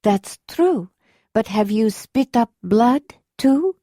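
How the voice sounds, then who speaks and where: Woman voicing a foreign-accented gentle healer. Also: fairly high pitch, lively intonation, slow pace, dry recording, clean studio sound, pitched above the performer's own voice. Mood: probing. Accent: unusual foreign accent. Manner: gentle, careful questioning. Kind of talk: audiobook character voice.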